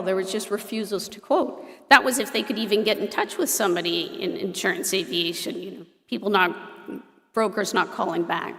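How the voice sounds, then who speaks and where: A woman from Ontario, a director presenting to a meeting in a lively, emphatic way with touches of humor. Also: mid-range voice. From Ontario, Canada. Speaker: association director presenting an insurance program. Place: meeting hall at an airport. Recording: roomy hall reverb.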